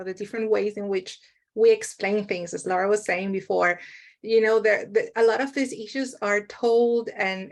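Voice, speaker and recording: A woman moderating a panel in a conversational, effusive and appreciative way. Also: medium pitch. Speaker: event moderator.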